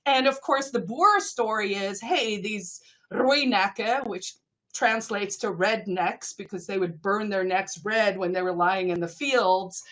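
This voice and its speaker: A middle-aged woman from South Africa, a native Afrikaans speaker with a South African accent, talking as an interview guest in a lively, animated way, laughing between points. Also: mid-range voice, measured pace, rough recording. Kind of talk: conversational interview storytelling.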